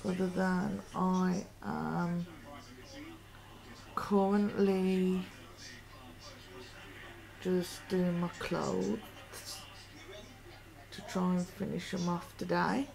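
Young woman, hoarse sore-throat voice, real gravelly, slow rambling chat to her laptop webcam at home. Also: on the low side, flat delivery, a little mellow, rough recording. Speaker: vlogger. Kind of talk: casual home vlog. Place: living room at home.

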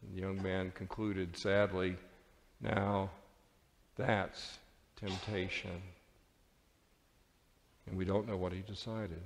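Male preacher, lowish voice, measured dramatic sermon delivery, big church reverb. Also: flat delivery, slight background noise. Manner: deliberate, dramatic phrasing with pauses. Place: large church sanctuary with congregation.

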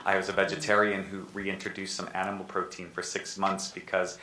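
Deep bright-voiced man on a panel. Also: completely flat delivery, brisk. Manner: rambling, restarts often, earnest. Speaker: panelist, natural foods school head. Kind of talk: panel discussion. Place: lecture room with audience. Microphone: table mic. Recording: echoey room, faint noise.

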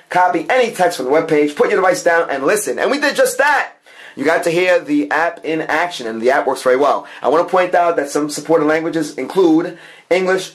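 Man giving a casual app demo. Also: fairly high pitch. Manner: talks in plural "we", informal, improvised and repetitive. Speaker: app reviewer, channel host. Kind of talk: casual hands-on product demo commentary.

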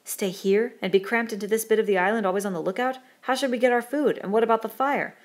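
A woman's voice: on the high side, fast, voice pushed up, close to an everyday voice. A woman voicing an earnest, exasperated boy leader in an audiobook, urgent and insistent as he reasons. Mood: indignant. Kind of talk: audiobook character dialogue.

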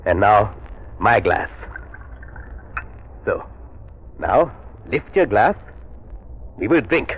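An actor playing a scheming, megalomaniac army villain in a 1930s radio drama, with a theatrical, formal and sardonic delivery. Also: male voice, on the low side, big pitch swings, very slow, pauses often, slight background noise, full character voice. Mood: gloating. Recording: muffled 1930s radio broadcast recording.

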